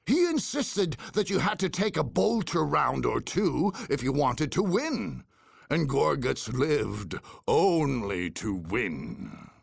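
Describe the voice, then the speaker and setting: An actor narrating video game cutscenes as a grave, theatrical chronicler of far-future war, in a husky, gravelly voice with deliberate delivery. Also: male voice, on the high side, sing-song, dry recording, studio quality, heavily acted. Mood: solemn, dramatic.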